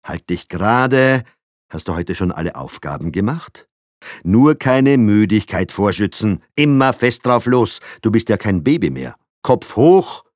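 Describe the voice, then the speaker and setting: A man voicing a fussy middle-aged father, switching between polite, gruff and baby-talk tones. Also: mid-range voice, very animated, takes it slowly, no echo, studio quality, voice pushed up. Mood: stern.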